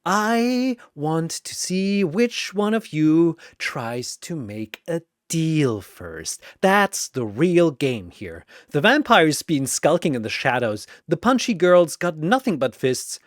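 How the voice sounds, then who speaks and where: A man voicing a manic, mocking dream demon with a taunting, theatrical delivery, gleeful and menacing, for an animated battle story. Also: a little high, takes it slowly, few pauses, no echo, studio quality, pitched above the performer's own voice. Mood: smug menace.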